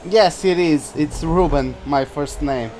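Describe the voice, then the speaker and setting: A man's voice: on the high side, takes it slowly, darkish tone, a little hiss or hum. A young Portuguese man with a Portuguese accent, chatting and laughing often as he commentates his own racing game on a live stream. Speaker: gaming streamer.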